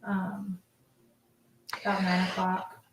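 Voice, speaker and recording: A mother recounting the day in an interview, halting with many ums. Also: mid-range voice, monotone, echoey room, background noise. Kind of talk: recorded interview.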